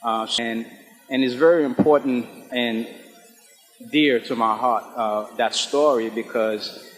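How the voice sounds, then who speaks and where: A man from Haiti with a mid-pitched voice and native Haitian Creole. He speaks earnestly and haltingly as an actor being interviewed, with long room reverb around him. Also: steady tone. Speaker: stage actor, interview guest.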